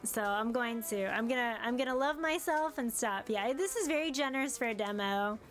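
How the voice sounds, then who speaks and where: A woman's voice: a little high, lively intonation, normal speed, a bit bright, faint music behind. A woman streaming a story game in casual chat, strained and losing her voice, rambling and restarting her sentences. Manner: rambling, self-interrupting.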